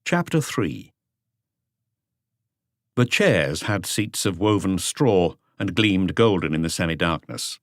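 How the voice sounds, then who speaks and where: A man's voice: low-pitched, expressive, slow, long pauses, no echo, studio quality. A man narrating an audiobook story from a script.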